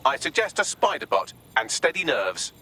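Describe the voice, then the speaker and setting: A man's voice: on the high side, big pitch swings, normal speed, gravelly, sharp tone, full character voice. A man playing a witty, sardonic artificial intelligence assistant in a video game, with a dry, wordy, sarcastic delivery. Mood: dry, instructive. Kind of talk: scripted video game mission dialogue.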